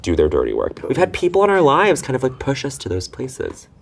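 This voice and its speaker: Animated, chatty man. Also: medium pitch, gravelly. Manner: quick, chatty, trailing off with likes and restarts. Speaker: guest comedian. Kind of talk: playful casual chat. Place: makeover studio.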